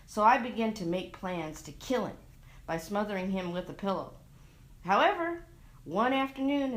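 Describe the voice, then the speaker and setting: A woman giving a dramatic reading of a literary short story, expressive and measured, recorded on a lavalier mic. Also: medium pitch. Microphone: lavalier microphone into a phone.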